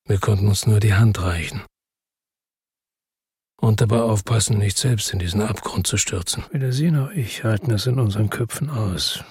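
World-weary crime narrator, low pitch, very raspy, introspective, grave, radio drama. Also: male voice, normal speed, darkish tone, no echo, studio quality. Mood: brooding. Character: brooding, world-weary male narrator-hero of a crime story.

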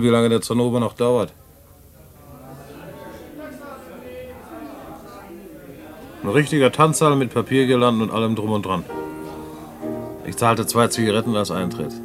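Male, medium pitch, acted streetwise hustler, colloquial, confiding, persuasive, radio play storytelling, faint music. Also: close to an everyday voice. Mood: wry narration. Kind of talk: radio play dialogue and first-person narration.

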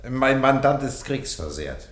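A man playing an emphatic defense lawyer, pleading rhetorically and deliberately in a 1950s radio play. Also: mid-range voice, sing-song. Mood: earnest pleading. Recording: 1950s radio recording, echoey courtroom.

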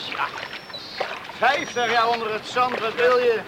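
A man's voice: very high-pitched, flat delivery, unhurried. An actor playing a young male islander and former sailor, wistful and easygoing, in a Dutch radio play. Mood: eager. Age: young adult. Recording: noisy old radio play recording.

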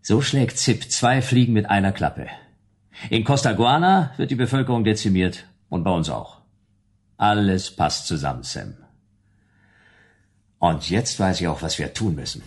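A man playing a world-weary, dogged private detective in a sci-fi radio drama, with a husky, brooding, terse and wry delivery. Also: on the low side, lively intonation, unhurried, pauses often, full character voice. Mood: determined. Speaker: lead actor narrating and playing a detective. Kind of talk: German radio drama acting, first-person noir narration.

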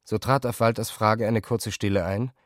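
A man's voice: fairly low pitch, fairly even intonation, normal speed, no echo, clean studio sound. A man giving a dramatic literary narration for a radio play.

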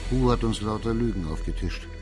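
An actor playing a shrewd, authoritative imperial judge in a radio drama, measured and formal. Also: male voice, fairly low pitch, music in the background, close to an everyday voice. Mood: discreet, authoritative.

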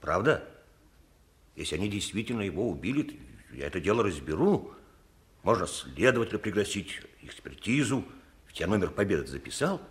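A man playing a good-natured police lieutenant in a 1960s Soviet radio play, informal, coaxing and gently ironic. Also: deep voice, big pitch swings, a little fast.

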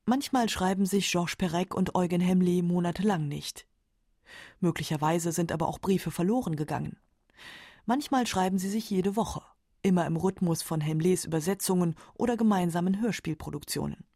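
A woman's voice: on the low side, normal speed, warm tone, no room sound, clean studio sound. A woman narrating a scripted radio documentary in a composed, factual way.

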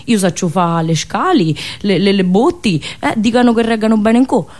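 A woman's voice: medium pitch, normal speed, a little mellow, lightly acted. A woman voicing a simple, deferential country grandfather and craftsman in central Italian rural dialect, earnest and folksy. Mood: earnest, impressed.

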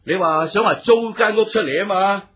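An actor playing a fawning crony in a Cantonese radio drama, husky-voiced, with ingratiating drawn-out exclamations. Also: male voice, high voice, fairly bright tone, soft background music. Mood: eager, flustered.

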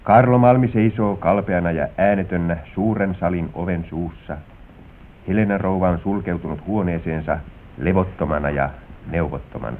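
A man's voice: low voice, lightly acted. A man narrating an old Finnish radio drama in a solemn, scripted way. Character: solemn male radio-play narrator setting the scene. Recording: old narrow-band radio recording, faint noise.

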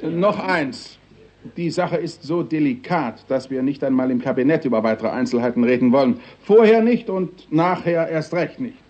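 A man's voice: on the high side, lively intonation, moderate pace, a little mellow, muffled recording. A man playing a cunning, commanding prime minister in a 1960s radio drama, speaking formally and officiously. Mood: dry, firm.

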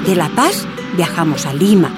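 A woman's voice: on the low side, sing-song, moderate pace, music in the background. An actress playing a determined Bolivian activist who tells her own story plainly in a radio drama. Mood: resolute. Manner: plain first-person storytelling.

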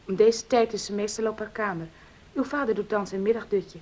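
An actress playing a cheeky, down-to-earth kitchen maid, deferential but pert, in a radio drama. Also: female voice, medium pitch, fairly even intonation, brisk, darkish tone, close to an everyday voice. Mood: polite.

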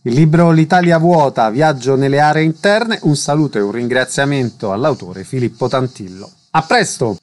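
A man's voice: mid-range voice, expressive, normal speed, hardly any pauses. A man hosting a cultural radio programme, putting questions and introducing segments. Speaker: radio host. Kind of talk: radio interview and cultural agenda presenting.

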